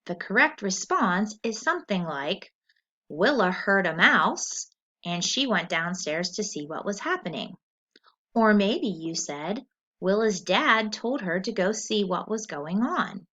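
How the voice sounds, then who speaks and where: A woman teacher reading a story aloud in an expressive, deliberate, instructional way, as for a lesson with questions. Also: medium pitch. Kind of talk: scripted story read-aloud with comprehension questions. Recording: dull lo-fi recording.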